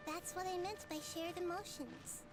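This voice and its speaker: Very high, gentle child goddess. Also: female voice, music in the background. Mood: calm, wise. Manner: measured, thoughtful, formal phrasing. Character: gentle, wise child-like goddess, calm and curious. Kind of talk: anime-style video game voice acting.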